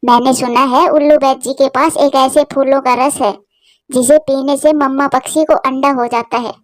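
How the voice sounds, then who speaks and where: A woman's voice: high-pitched, steady tone, normal speed, no room sound, clean studio sound, close to an everyday voice. A woman voicing a helpful, sisterly female pigeon who reassures her friend in a cartoon story. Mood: encouraging. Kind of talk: Hindi cartoon story dialogue.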